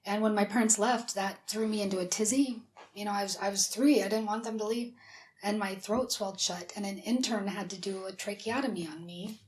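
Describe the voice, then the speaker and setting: Woman, raspy and breathy, chatty studio interview guest. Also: mid-range voice, steady tone, brisk, darkish tone, slight background noise. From South Dakota. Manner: chatty, open storytelling. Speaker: whistleblower guest. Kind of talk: personal interview.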